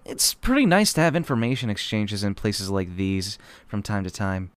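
A man voices a blunt high-schooler in a manga dub. The pitch is medium and very mobile, recorded dry. The character is skeptical and prone to nagging. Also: very animated, moderate pace, clear, resonant tone, no room sound. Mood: nagging, offhand.

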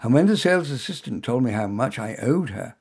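A man reading his own short story aloud as first-person narration in a wry, expressive manner. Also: mid-range voice, fairly quick, gravelly, slightly rough.

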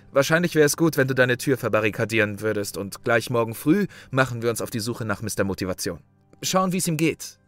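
A man plays a pragmatic survival expert in a horror audio drama. He talks soberly and matter-of-factly in a medium-pitched voice, recorded dry with faint music behind him. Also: no echo, lightly acted. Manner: matter-of-fact.

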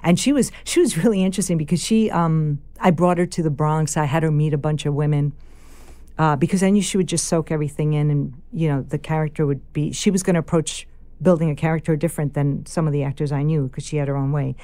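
A woman's voice: deep voice, fast. A woman with a New York accent, a filmmaker telling stories in a casual interview. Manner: animated storyteller, quoting others aloud. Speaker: filmmaker guest.

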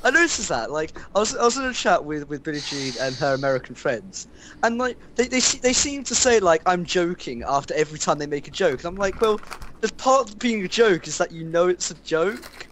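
A man's voice: high-pitched, very animated, brisk, fairly bright tone, slight background noise. A man chatting and laughing as a co-host of a gaming charity livestream. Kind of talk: casual chat while gaming.

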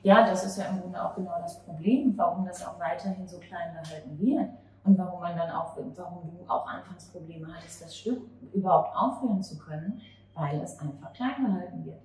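A woman with a lowish voice conducts a reflective interview. She feels her way haltingly through long thoughts. The recording is very echoey and distant, as at a table in a small venue. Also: a little mellow. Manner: halting, searching for words. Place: small cafe or bar table at night. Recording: very echoey, distant, noisy room ambience.

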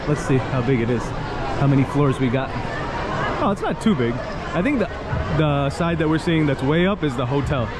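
A man's voice: on the high side, animated, normal speed, heavy background noise. Upbeat American man vlogging in a mall. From America, family from Pakistan. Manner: upbeat, laughs often, repeats "yeah, yeah". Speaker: travel vlogger. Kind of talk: casual vlog chat with strangers. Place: large indoor shopping mall. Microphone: handheld camera at arm's length. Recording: busy mall with crowd and music.

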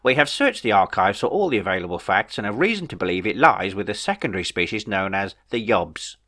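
A deferential royal advisor, put on by a male narrator, low-pitched and formal. The delivery is measured and careful, yet the intonation moves a good deal and the tone stays bright. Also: sing-song, exaggerated voice.

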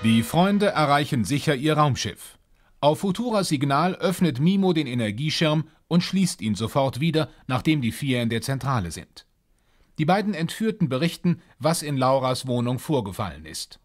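A man narrating a scripted science-fiction adventure in a measured, formal storytelling manner. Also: fairly high pitch, very animated.

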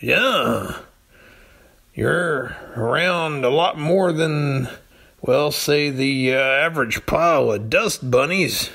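A man's voice: a little high, takes it slowly, creaky, a bit bright. A man chatting casually and comically as a podcast storyteller, laid-back and hesitant. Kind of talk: casual comedic chat.

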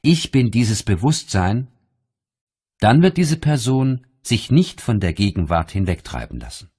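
A man narrating an audiobook of spiritual teachings in a measured, deliberate, solemn reading style. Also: lowish voice, very animated, darkish tone, husky.

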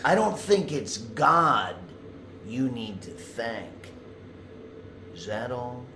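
A coolly menacing leader in an audio drama. He talks slowly and theatrically with wide swings of pitch and false reassurance, his voice ringing in a roomy space. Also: lively intonation, pushed and bright, a little hiss or hum, exaggerated voice. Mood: cool menace. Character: smooth, menacing male leader, coolly condescending. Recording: roomy echo under music.

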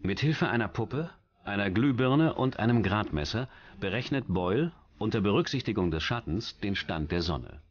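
A man's voice: on the low side, lively intonation, moderate pace, highs cut off. A man reading scripted documentary narration in as the narrator. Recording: music under the voice. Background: background music.

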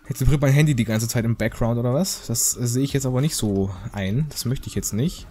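A man's voice: mid-range voice, mellow. A man giving casual, joking game commentary in a rambling, slangy, mock-indignant way. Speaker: gaming video host.

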